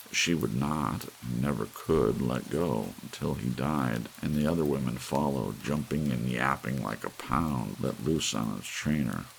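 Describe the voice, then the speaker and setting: A man narrating a literary story as an ASMR audiobook reader, with soft rain behind him. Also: rumbling low, gravelly, low-quality recording. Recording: faint hiss behind the voice.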